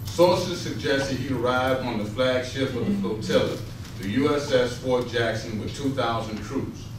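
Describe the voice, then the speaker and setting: Man, formal readers theater narration, mid pitch, heavy room echo. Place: echoey room, live rehearsal. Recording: echoey, distant, noisy rehearsal recording.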